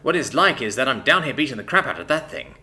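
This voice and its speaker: A man reading a story, voicing an awkward young man who speaks quietly and haltingly. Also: mid-range voice, sing-song, exaggerated voice.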